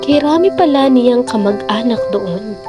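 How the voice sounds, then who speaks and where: A woman narrating a literary radio drama in an expressive storytelling manner. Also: fairly high pitch, a little slow. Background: background music.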